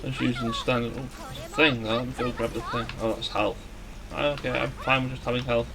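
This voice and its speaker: A man giving casual, somewhat halting video game commentary as a let's play host. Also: mid-range voice, little pitch movement, gravelly, low-quality recording. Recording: music behind the voice. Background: game music.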